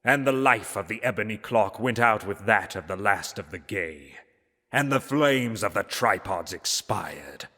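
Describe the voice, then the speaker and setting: A man reading a gothic story aloud as narrator, in a solemn, measured and theatrical manner. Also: lowish voice, expressive, bright, forceful tone. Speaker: narrator, reader. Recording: long hall-like reverb.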